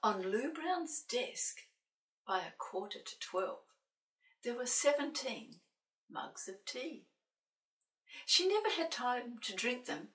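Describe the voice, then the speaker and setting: A woman reading a children's picture book aloud in an expressive way, with playful, dramatic asides to young listeners. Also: fairly high pitch, slow, pauses often. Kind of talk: expressive children's storybook narration. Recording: roomy, slightly distant microphone.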